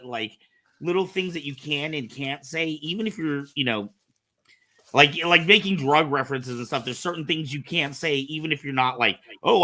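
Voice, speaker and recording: A man hosting a talk show, chatting casually in an animated, giggly and excitable way. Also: a little high. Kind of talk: casual podcast chat.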